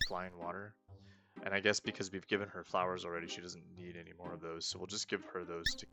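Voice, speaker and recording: Gravelly low-voiced man playing a game. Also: little pitch movement, fast talker, music in the background. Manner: rambling, hedging, thinking aloud. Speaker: let's play host. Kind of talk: casual gameplay commentary.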